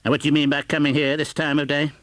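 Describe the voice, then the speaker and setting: A man's voice: on the high side, little pitch movement, racing, a bit bright, no echo, voice pushed up, close to an everyday voice. A man voicing an elderly reformed miser from a storybook, emphatic and exclamatory, by turns mock-stern, giddy and earnest. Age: older. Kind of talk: dramatised audiobook reading.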